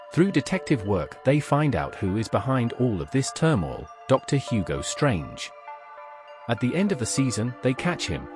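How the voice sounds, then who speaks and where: A man's voice: mid-range voice, a little mellow. A man hosting a pop culture show, reading scripted explanatory narration.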